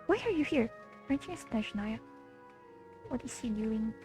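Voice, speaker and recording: A woman's voice: fairly high pitch, lively intonation, normal speed, a little mellow. A woman giving casual, slangy, exclamatory reaction commentary while playing a video game, with some swearing. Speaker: gamer reacting to a story quest playthrough. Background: video game music.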